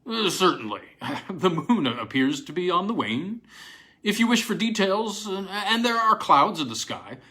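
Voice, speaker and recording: A man reads the part of a measured college fellow from a storybook. He is obliging and precise, hesitating as he picks out details. The voice is bright, with lively pitch movement. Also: full character voice. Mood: amused, careful. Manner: hesitant, precise, matter-of-fact.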